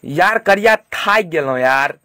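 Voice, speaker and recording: Man doing a slow, high-pitched bumbling cop. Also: lively intonation, over loud music. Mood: chummy, lazy. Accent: Maithili, from Mithila. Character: bumbling, lazy male police inspector sidekick. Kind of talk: amateur cartoon comedy dialogue.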